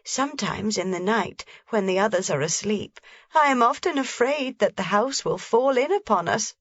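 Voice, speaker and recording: Woman reading as a gentle, sad old grandmother. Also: medium pitch, expressive, normal speed, no echo, pitched above the performer's own voice, natural voice. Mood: sorrowful. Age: over 60. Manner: soft, gentle, mournful. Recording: slightly dull, dry lo-fi recording.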